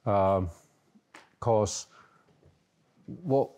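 A Finnish-accented man in his fifties speaks in a very deep, unhurried voice. He is thoughtful and halting, often restarting his sentences and padding them with fillers as he answers panel questions. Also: darkish tone. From Finland. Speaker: panel guest, education leader. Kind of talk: panel discussion. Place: indoor library room with bookshelves.

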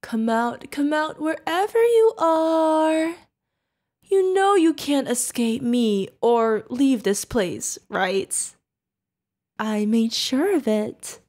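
A woman's voice: high-pitched, lively intonation, slow, a little mellow. A woman voicing an insane, sadistic female yandere killer in an ASMR roleplay, creepy and giggling, with teasing, singsong threats. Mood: sinister, obsessive. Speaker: voice actor.

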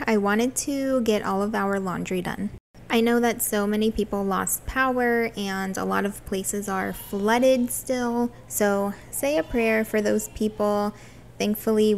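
A cheerful woman in her thirties from Florida talks her viewers through a cleaning session, laughing easily as she answers their questions. Her voice sits in a mid pitch and is caught by a phone camera set across a home bathroom, with a faint hum underneath. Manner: cheerful, chatty, laughs often. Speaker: vlogger. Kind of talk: chatty cleaning vlog Q&A. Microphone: phone camera across the room.

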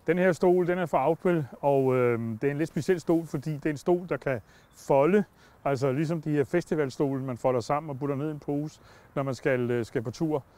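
An older man giving a plain, measured product review on a campsite lawn, speaking into a lapel mic. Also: on the high side, dark tone. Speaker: product tester.

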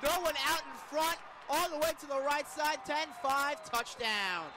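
An American man calling football live on the radio as play-by-play announcer, with excitable, emphatic delivery. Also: extremely high pitch, a little slow, background noise. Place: football stadium broadcast booth.

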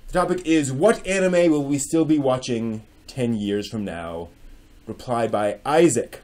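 A man's voice: mid-range voice, unhurried, a little mellow. A man in his forties hosting a dramatic reading with casual commentary, speaking in an emphatic, theatrical manner at home. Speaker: host. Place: small furnished room at home with bookshelves.

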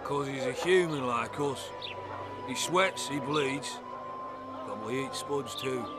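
An actor playing a boastful, hard-drinking male soldier with a rough, colloquial manner in a video game. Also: medium pitch, slow, loud music behind the voice, lightly acted. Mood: matter-of-fact.

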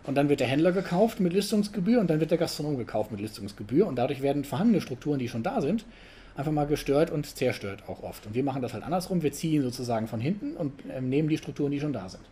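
A middle-aged man giving a casual, conversational talk to an audience at an event, often ending points with a quick tag question. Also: a little high, a little hiss or hum. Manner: conversational, frequent tag questions, animated emphasis. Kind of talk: informal conference talk.

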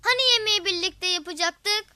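A performer voicing an eager, chatty five-year-old boy in a children's cartoon, lively and childlike. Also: very high voice, brisk, dry recording, heavily acted. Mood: excited. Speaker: main character and narrator. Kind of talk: children's cartoon dialogue and narration. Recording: music behind the voice.

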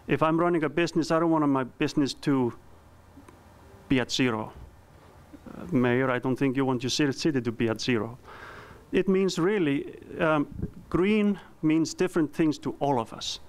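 A man in his thirties from Iceland with an Icelandic accent, giving a conference presentation with a handheld mic, earnest and halting with frequent ums. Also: on the high side, clear, resonant tone. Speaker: company founder giving a presentation. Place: conference room.

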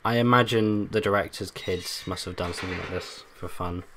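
A young man with a bassy voice, hosting a let's play with casual, joking commentary and humming. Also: steady tone, fairly quick, noisy background. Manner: joking, hums and sings to himself, loud bursts.

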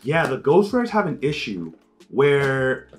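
A man's voice: medium pitch, takes it slowly, soft background music. A young man co-hosting, chatting casually and calling out card names as he opens packs. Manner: lively, calls out card names, excited outbursts. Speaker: co-host opening card packs. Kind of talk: casual chat while opening packs.

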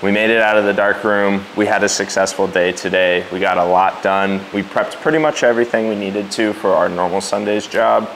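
A young man with a lowish voice walks through his screen printing prep in a casual vlog. He talks easily and explains each step as he goes, clipped with a lapel mic in a large workshop. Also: steady tone, brisk, hardly any pauses, fairly bright tone, slight background noise, low-quality recording. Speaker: screen printing shop owner. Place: large workshop with wooden floors.

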